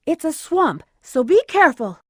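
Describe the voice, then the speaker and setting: A woman's voice: sits high, sing-song, normal speed, no room sound, clean studio sound. A woman voicing a sly, playful bat treasure hunter in a video game, teasing and breezy. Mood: cautious.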